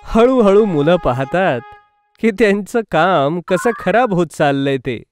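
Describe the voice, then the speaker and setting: A man's voice: sits high, lively intonation, a little slow, soft background music. A man reading scripted narration for a children's cartoon story.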